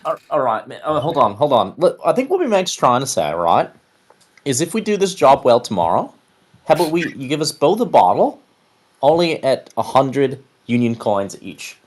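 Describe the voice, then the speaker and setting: A man's voice: mid-range voice, big pitch swings, normal speed. A man playing a tabletop roleplaying game, negotiating casually in character with the others.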